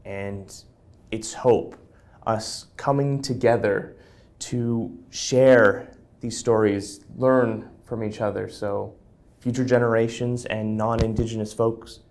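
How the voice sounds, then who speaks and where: A man host speaking in a soft-spoken, sincere way as he thanks a guest and closes the show. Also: fairly low pitch, steady tone, slow pace.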